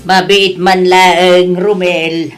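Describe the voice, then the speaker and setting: An actress with an Ilocano Filipino accent playing a reproachful middle-aged mother in an audio drama, chiding with drawn-out words. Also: lowish voice, level tone, clean tone, soft background music. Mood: questioning.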